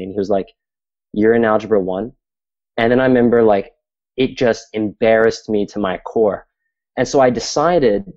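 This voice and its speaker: A man's voice: on the low side, a little mellow. A young man from Singapore, a filmmaker guest, telling his story candidly in a casual interview with many fillers. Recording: dull lo-fi audio.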